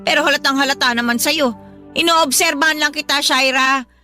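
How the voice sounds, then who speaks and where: Shrill, nagging Filipino mother-in-law. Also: fairly even intonation, fairly quick, pushed and bright, soft background music. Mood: scolding. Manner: scolding, sarcastic, accusing. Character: nagging, scornful mother-in-law, middle-aged woman. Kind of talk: Tagalog radio drama acting.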